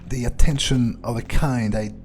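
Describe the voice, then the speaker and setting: A man's voice: mid-range voice, fairly even intonation, moderate pace, a little mellow. A man commentating a video game playthrough in character, musing to himself in a casual, dry way. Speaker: gamer playing in character. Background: faint game music.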